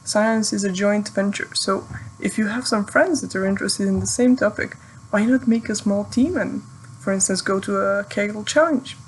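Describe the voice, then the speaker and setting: A woman's voice: medium pitch, moderate pace, a little hiss or hum, rough recording. A young woman from Serbia, a PhD student whose first language is Serbian, giving friendly, thoughtful advice in English in a recorded video message. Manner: friendly, thoughtful, with light laughs.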